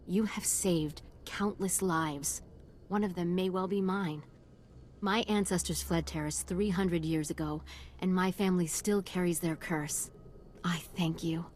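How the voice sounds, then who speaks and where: A woman's voice: on the low side, a little mellow, a little hiss or hum, natural voice. A woman playing a solemn, devoted doctor in a video game, speaking in a grave, formal and earnest way. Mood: grateful.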